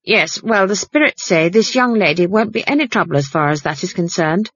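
A woman's voice: on the low side, animated, fairly bright tone, no room sound, muffled recording. A woman doing a shrewd, grim old witch. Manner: brisk, grim, commanding.

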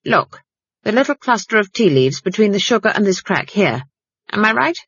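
A woman voicing a shrewd, stern old witch who speaks in a brisk, grim, commanding way. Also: medium pitch, sing-song, a bit bright, husky, no room sound, lo-fi sound, voice pushed up, full character voice.

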